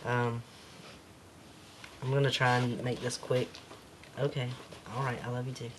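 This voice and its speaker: A man with a slightly raspy voice talking hesitantly in a casual home video diary, with many pauses and fillers. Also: mid-range voice, level tone, a bit bright, a little hiss or hum.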